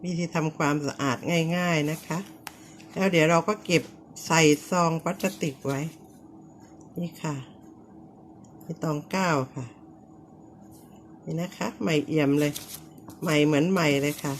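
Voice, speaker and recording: ผู้หญิงเสียงทุ้มต่ำ พูดสุภาพช้าๆ. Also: female voice, sits low, fairly even intonation, unhurried, pauses often, a little hiss or hum. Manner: polite, repetitive pointing out with soft ending particles. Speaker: vlogger showing how to clean banknotes. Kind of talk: casual how-to demonstration.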